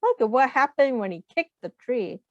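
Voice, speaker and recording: A woman reading a picture book aloud to young children in an expressive, teacherly way. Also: a little high, moderate pace. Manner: expressive, asks the listeners questions. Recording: muffled, echoey room sound.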